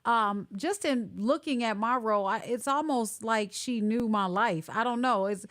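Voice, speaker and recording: Chatty, animated woman host on a video call, bright and a bit high. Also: measured pace. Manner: chatty, animated, talks through tech glitches. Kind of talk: casual online interview.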